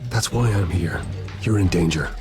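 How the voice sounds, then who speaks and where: An actor playing a grave, determined billionaire vigilante in a video game, earnest, clipped and controlled. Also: male voice, low-pitched, normal speed, over music, close to an everyday voice. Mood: earnest, urgent.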